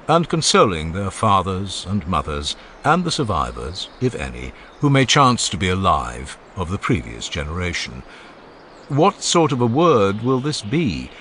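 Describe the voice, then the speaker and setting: A man playing an elderly philosopher in a dramatized audiobook, speaking in a formal, measured, declamatory manner. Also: sits low, a bit bright, a little hiss or hum, heavily acted. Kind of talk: dramatized audiobook of ancient oration.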